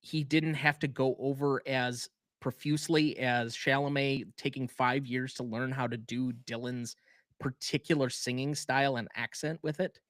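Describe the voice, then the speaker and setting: Male podcast co-host, mid-pitched, opinionated and emphatic, dry close mic. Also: fairly even intonation, normal speed, fairly bright tone, no room sound. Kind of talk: casual chat.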